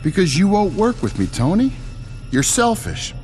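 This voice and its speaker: A man's voice: sits high, sing-song, normal speed, darkish tone, faint music behind. An actor playing a smug, scornful businessman villain in a video game cutscene, condescending and theatrical. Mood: scornful, persuasive.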